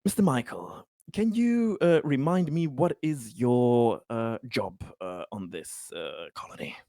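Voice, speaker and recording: Casting: man as fussy male colony official for tabletop game, probing, halting, animated intonation, dry close sound. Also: mid-range voice, no room sound. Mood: officious, probing. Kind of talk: improvised tabletop roleplay acting.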